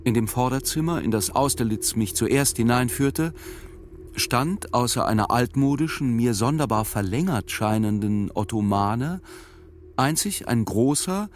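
A male actor as a thoughtful, sober narrator in a literary radio play. His voice sits at a medium pitch, and he sets out his memories with measured precision. A faint hum lies behind the voice. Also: expressive, lightly acted. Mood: sober, recollecting.

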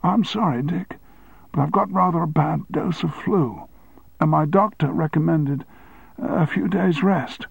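A man's voice: on the high side, measured pace, creaky, breathy edge, dry recording, phone-quality sound, voice pushed up, full character voice. A man voicing an apologetic deputy chairman who is ill with flu, in a thin reedy voice.

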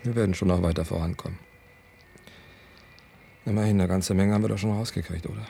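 An actor playing a stubborn, hot-headed research doctor in a naturalistic radio play, emphatic and given to repeating himself. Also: male voice, sits low, steady tone, fast talker, gravelly, mellow, a little hiss or hum. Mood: quiet, reassuring.

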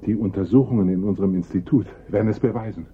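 A man's voice: fast, husky. An actor playing a grave art professor, authoritative and precise, entirely sure of his expertise. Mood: certain, solemn. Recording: dull vintage radio recording, faint rumble.

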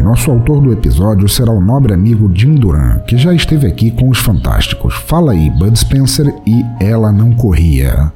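A man with a Brazilian accent, hosting and narrating a scripted podcast audio drama in a theatrical, deliberate way. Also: fairly low pitch. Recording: soft music behind.

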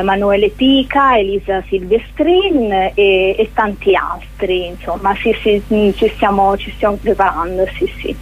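A woman giving an informal radio interview as a guest actress, speaking hesitantly with searching pauses. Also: medium pitch. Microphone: telephone. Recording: narrow, tinny phone line.

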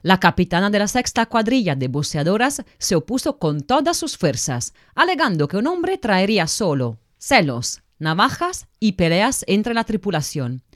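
A woman's voice: medium pitch, very animated, normal speed, few pauses, no room sound, clean studio sound. A woman reading scripted story narration, addressing the listeners directly like a storyteller.

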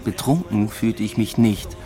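A man's voice: lowish voice, unhurried, over music. An actor playing a reserved young man who tells of a new love affair in a radio drama, understated and matter-of-fact. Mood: reflective, understated. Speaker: narrator and lead character. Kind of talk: first-person radio drama narration.

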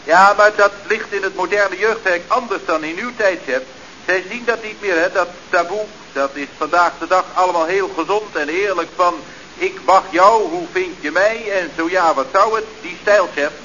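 Chatty deferential youth leader, male, very high pitch, radio comedy, muffled noisy vintage recording. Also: normal speed, bright, forceful tone. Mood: breezy. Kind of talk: Dutch radio comedy acting.

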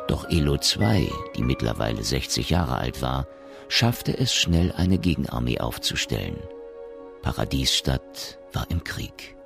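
A man narrating a science fiction radio drama as a grave, measured chronicler with a gravelly voice. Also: a bass voice, exaggerated voice. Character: grave chronicler of a space colony's history. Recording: music under the narration. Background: music.

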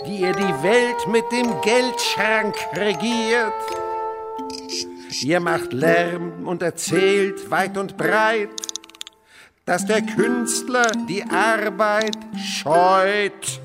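An actor in a German radio play playing an older male naturalist, dreamy and fond of insects, with a poetic, theatrical manner. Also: high-pitched. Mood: slow mocking song. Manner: poetic, musing, theatrical, breaks into slow singing.

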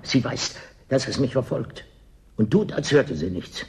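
A man's voice: medium pitch, lively intonation, a little slow, darkish tone, slightly rough, full character voice. Haunted, officious male border official. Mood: haunted, ominous. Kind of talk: radio drama acting.

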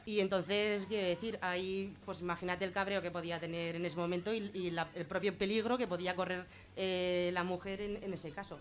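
Woman from Spain, informal and halting explainer. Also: lowish voice, fairly even intonation. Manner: colloquial, halting, thinking aloud. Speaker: guest explaining gender-violence legislation on a radio show.

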